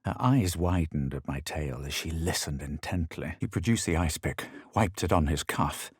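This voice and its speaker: Haughty young game hero, low husky voice, measured and theatrical, very dry recording. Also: male voice, animated, no room sound, exaggerated voice. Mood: wary, aloof. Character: haughty, self-assured young male fantasy adventurer. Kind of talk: dramatic video game voice lines and narration.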